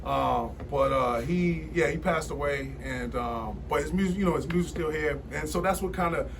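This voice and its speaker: Forties male guest, rambling and filler-heavy, a bit high-pitched, recorded from a distance with noise. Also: normal speed, vocal fry. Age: 40s. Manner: rambling storyteller, many fillers and restarts. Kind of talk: casual studio conversation.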